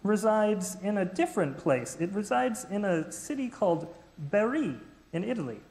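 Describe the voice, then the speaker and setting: A man's voice: high voice, very animated, moderate pace, a little mellow. A man playing a fussy ancient male geographer in a church skit, lecturing theatrically. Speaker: skit actor. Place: church sanctuary. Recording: echoey church hall.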